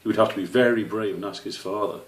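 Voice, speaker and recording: A man's voice: on the low side, steady tone, fast. A man reading a children's story aloud as its narrator. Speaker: author reading own story. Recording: roomy, slightly distant microphone.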